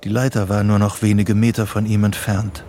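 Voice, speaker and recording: An actor narrating a psychological thriller radio play as a sober storyteller. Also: male voice, fairly low pitch, level tone, brisk, dark tone, a little hiss or hum, lightly acted. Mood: sober, suspenseful. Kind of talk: scripted literary narration.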